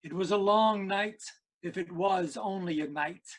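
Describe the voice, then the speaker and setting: An actor playing a grave storyteller, narrating a Victorian ghost story with measured, theatrical phrasing. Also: male voice, high voice. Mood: solemn. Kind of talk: dramatic scripted narration.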